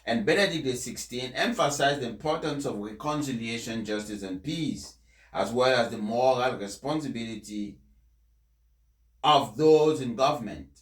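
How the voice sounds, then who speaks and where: A man's voice: medium pitch, moderate pace. Echoey man reading aloud. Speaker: presenter reading out a speech for followers. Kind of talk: formal read-aloud address with informal introduction. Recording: echoey, reverberant room.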